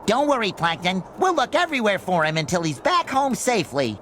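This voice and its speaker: An actor playing a cheerful, naive, eager young sea sponge in a cartoon video game, earnest and excitable. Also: male voice, high voice, brisk, a little hiss or hum. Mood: earnest concern. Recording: loud music behind voice.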